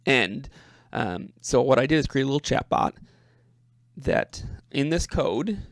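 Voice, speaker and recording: A man with a noticeably creaky, mid-pitched voice presents an informal software walkthrough. He talks in a halting way, as if working out each phrase aloud, and often repeats a word before going on. The recording is dry and close, with little sound of the space. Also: animated, no room sound. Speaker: presenter demonstrating a personal coding project. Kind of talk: informal screen-share walkthrough.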